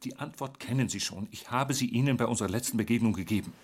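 An actor playing a proud, aloof government gentleman in a radio drama, speaking formally and precisely. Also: medium pitch, fast talker, slight background noise, close to an everyday voice. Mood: guarded, aloof.

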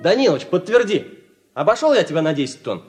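A man's voice: high-pitched, sing-song, brisk, darkish tone, faint music behind. A man acting in a Soviet radio drama as a cocky, teasing combine driver in his thirties, boastful and folksy. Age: 30s. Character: cocky joking thirty-year-old village combine driver with guitar.

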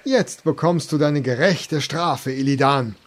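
A man's voice: a little high, a little slow, lightly acted. An actor playing a stern, formal noble man passing sentence in a comedic radio play. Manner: solemn, formal. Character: stern noble male judge, Illidan's brother.

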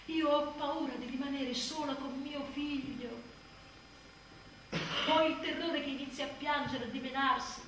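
A woman's voice: sits high, steady tone, unhurried. An actress playing an exhausted, anxious young mother in a confessional radio theatre monologue, moving between intimate confession and sardonic outburst. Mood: anxious, fearful. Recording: very echoey stage, distant mic, faint noise.

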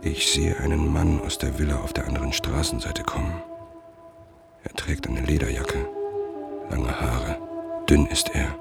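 A man acting as a brooding, suspicious middle-aged writer, narrating a radio play in the first person with a gravelly, measured, introspective delivery. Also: very deep voice, full character voice. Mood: observant, pensive. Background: music.

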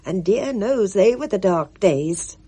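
A woman voicing a cheerful, excitable little older woman who chatters merrily in a high, fluttery voice. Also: takes it slowly, husky. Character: chirpy little red-faced older woman.

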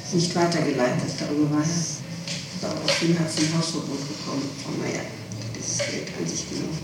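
A woman answering questions in an interrogation, repeating and rephrasing as she goes, on an old 1960s tape recording. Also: low-pitched. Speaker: person being questioned in an interrogation. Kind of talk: interrogation testimony, spontaneous answers. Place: echoey room, recorded from a distance. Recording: noisy, echoey 1960s tape, distant microphone.

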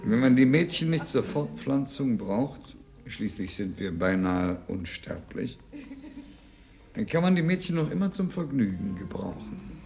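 An actor playing a smug, callous ruler speaking in a radio drama. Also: male voice, mid-range voice, very animated, measured pace, gravelly. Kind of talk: 1970s German radio drama acting. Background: music.

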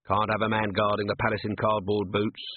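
A man voicing a gruff middle-aged male watch commander with a brusque, wry manner. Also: lowish voice, monotone, brisk, lightly acted. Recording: tinny narrow-band, dry.

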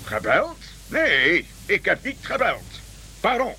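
A male actor playing an excitable, bashful European king in a comic radio play, politely flustered and repeating himself in hesitant bursts. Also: sits high, takes it slowly, gravelly, a little hiss or hum, heavily acted.

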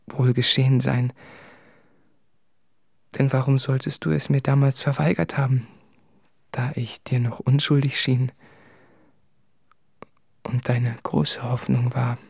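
A brooding son addressing his father in a radio play. The voice is husky and gravelly, introspective and flat in pitch, recorded dry. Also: dry recording. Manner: subdued, introspective. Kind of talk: radio drama, literary monologue.

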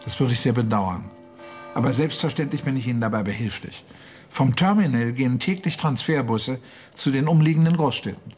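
An actor playing a polite, formal and diplomatically firm tour guide with a husky voice in a German radio drama. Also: male voice, medium pitch, fairly quick, a little mellow, soft background music, natural voice. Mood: polite, defensive.

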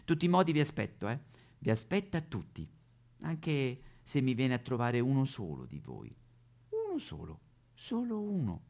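Casting: male comic actor as vain, aggrieved Madonna statue, Roman accent, melodramatic satirical monologue, very animated swooping pitch. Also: moderate pace, creaky, full character voice. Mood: weary, complaining. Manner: melodramatic, plaintive, sudden swoops into wailing. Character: aggrieved neglected female courtyard Madonna statue, self-pitying, vain.

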